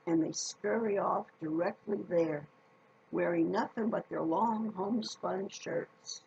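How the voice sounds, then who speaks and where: A woman reading a children's story aloud in a folksy, deliberate manner, speaking directly to her listeners. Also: fairly low pitch, gravelly, darkish tone, lo-fi sound, slight background noise.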